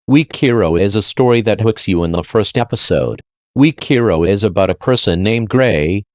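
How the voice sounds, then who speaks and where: A man with a fairly low voice narrates a scripted countdown review. The sound is dry and close, and his wording is informal and peppered with slang, as a video host's would be. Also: a little mellow, no room sound.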